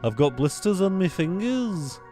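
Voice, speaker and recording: A man voicing a cheery, overdone mock Liverpool man, in a high, drawn-out imitation accent, with faint music behind. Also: big pitch swings, warm tone. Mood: playful mock.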